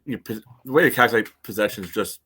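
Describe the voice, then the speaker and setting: A man's voice: fairly low pitch, fast, sharp tone, husky. A man co-hosting a soccer podcast, speaking in a dry, skeptical way. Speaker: podcast co-host. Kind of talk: casual soccer chat.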